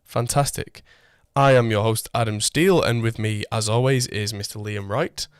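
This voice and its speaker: A man in his thirties hosting a casual podcast, chatty and self-deprecating, his voice a little hoarse from a cold. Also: lowish voice, moderate pace, no echo. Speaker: podcast host. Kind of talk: casual chat. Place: home studio. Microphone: condenser mic through an audio interface. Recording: dry close-mic sound.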